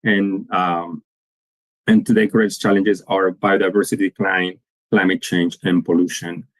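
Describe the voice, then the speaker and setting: A man from Colombia speaks. His voice sits fairly low, and the recording is dry and close. He presents earnestly to young listeners and often repeats a word before going on. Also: level tone, moderate pace, dry recording. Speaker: guest speaker. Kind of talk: conference presentation to students.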